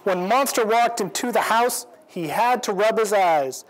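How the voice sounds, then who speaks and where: A man in his forties reading a picture book aloud to children in an expressive, measured storytelling way. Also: high voice, poor audio. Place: indoors, library event room. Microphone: lapel. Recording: clear background noise in room.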